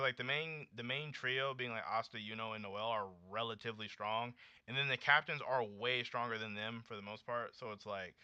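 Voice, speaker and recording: A young American man in his late twenties talks casually as a livestream host. His voice is very bright and punchy, with a slangy, animated delivery. The audio is slightly dull and lo-fi. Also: mid-range voice, no room sound. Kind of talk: casual livestream chat with viewers.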